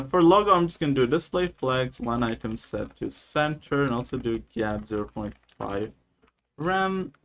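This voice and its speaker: Guy from nepal live coding, reads out his code step by step, chill and chatty, mid pitch. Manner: casual, talks through each step while typing. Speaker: coding streamer. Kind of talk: live coding stream, dictating code and reading chat.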